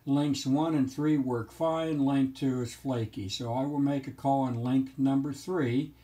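A man's voice: mid-range voice, steady tone, a little slow, dark tone. A man narrating an explanatory demonstration in a methodical, matter-of-fact, technical manner. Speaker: hobbyist presenter.

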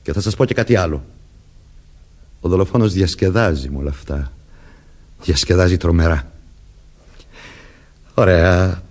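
Husky, stern young police detective. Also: male voice, sits low, darkish tone. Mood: grave, warning. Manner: commanding, interrogating, deliberate. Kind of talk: Greek radio play acting.

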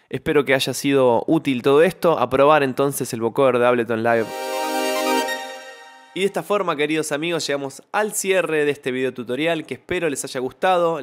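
Young man with a slightly high voice. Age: young adult. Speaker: music production tutorial host. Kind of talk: explanatory software tutorial. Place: small home studio with foam panels. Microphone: close studio microphone on a stand, with headphones.

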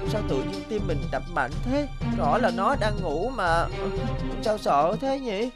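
An actor playing a cocky, eager little boy mouse in an animated cartoon, excitable in manner. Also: medium pitch, a little slow. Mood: nervous. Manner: boastful, pleading, excitable. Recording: music behind the voice.

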